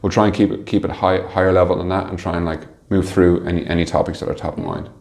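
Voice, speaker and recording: A man answering interview questions conversationally, haltingly thinking aloud as he explains his work as a manager. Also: deep voice, level tone, a little mellow. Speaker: interview guest, team manager.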